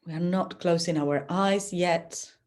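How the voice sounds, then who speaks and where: Woman, yoga teacher, lowish voice, very slow delivery, gentle, soothing guided relaxation. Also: fairly even intonation. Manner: gentle, unhurried, soothing guidance.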